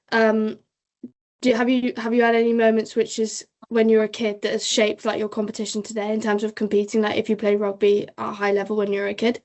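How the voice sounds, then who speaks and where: Young woman co-hosting, fairly high voice. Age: young adult. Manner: careful, slightly halting when asking questions. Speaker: podcast co-host. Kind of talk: scripted intro and interview questions.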